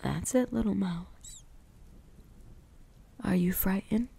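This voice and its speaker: A woman voicing a possessive, teasing vampire huntress in an ASMR roleplay, breathy and whispery, with a deliberate, softly menacing delivery. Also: medium pitch, heavily acted.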